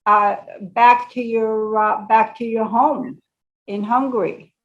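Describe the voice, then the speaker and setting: A woman's voice: fairly high pitch, steady tone, takes it slowly. An older woman interviewing a guest, asking her questions hesitantly and searching for words with many fillers. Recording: slightly dull, muffled sound.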